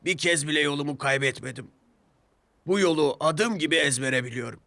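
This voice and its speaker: A man's voice: a little high, a little fast, gravelly, sharp tone, no echo, voice pushed up. A man voicing a proud, boastful big male express engine in a children's story, with a pompous, condescending manner.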